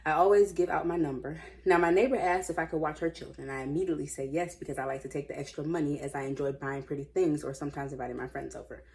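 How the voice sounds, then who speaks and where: Low-voiced woman, casual and slangy. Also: steady tone, fast, vocal fry, few pauses, a little mellow. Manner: informal, slangy, opinionated, musing aloud. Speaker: host reading and commenting on online stories. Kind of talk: casual reading aloud with chatty commentary.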